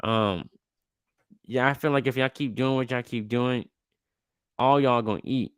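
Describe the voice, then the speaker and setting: A young man in his twenties hosts a podcast, talking in a loose, slangy, rambling way. His voice comes through smooth and clear. The sound is dry, with no room echo. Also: mid-range voice, measured pace, fairly bright tone. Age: 20s. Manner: laid-back, slangy, rambling with restarts. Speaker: podcast host. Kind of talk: casual interview chat.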